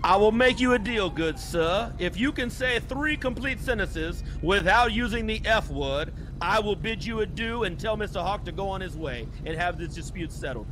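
A man's voice: high-pitched, lively intonation, moderate pace, background noise. A man voicing an overly formal police officer with pompous, old-fashioned politeness.

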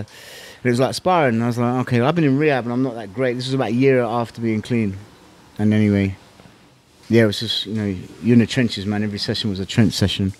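Southern English male interviewee, middle-aged. Very quick delivery, street slang and swearing, animated anecdote style. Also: medium pitch. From England. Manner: animated, slangy, sweary, acting out remembered exchanges. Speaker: interview guest, former kickboxing champion. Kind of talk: casual storytelling interview.

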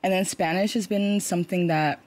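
A woman's voice: fairly low pitch, fairly even intonation, normal speed, a little mellow. A woman from Brazil, a Portuguese speaker, answering interview questions in a rambling way full of fillers. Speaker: musician guest.

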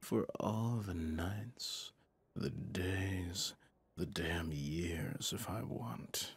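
Male actor as possessive mafia boss, low breathy voice, slow teasing drawl, flirtatious menace, ASMR roleplay, close to the mic. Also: exaggerated voice. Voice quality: low, husky, often dropping to a whisper. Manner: slow, teasing, intimate, with pet names. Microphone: close studio microphone, dry.

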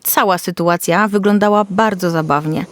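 A woman's voice: lowish voice, moderate pace. A woman storyteller reading a scripted fairy tale for children.

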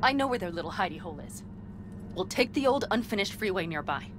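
A woman's voice: medium pitch, expressive, quick pace, natural voice. A woman playing a headstrong, vengeful young nomad in a video game, speaking bluntly and insistently. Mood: urgent, frustrated. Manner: blunt, insistent, foul-mouthed. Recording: loud vehicle noise and game ambience.

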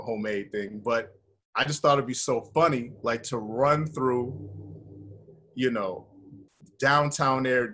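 An American man in his thirties chatting casually on a video call, telling stories in a slangy, rambling, animated way. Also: mid-range voice. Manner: animated, slangy, rambling with frequent "you know" and "like". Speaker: actor being interviewed. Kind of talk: casual chat, storytelling. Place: indoor room, video call.

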